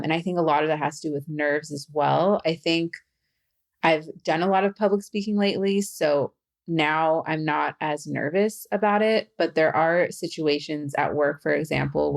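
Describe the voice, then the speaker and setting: A young adult woman who stutters, speaking as a podcast guest in a relaxed conversational way, with halting phrasing and restarts. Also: sits low, gravelly. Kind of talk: conversational interview.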